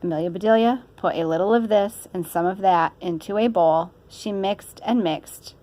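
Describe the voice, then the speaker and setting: A woman's voice: mid-range voice, normal speed, mellow. A woman reading a children's story aloud in an informal way. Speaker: storybook reader.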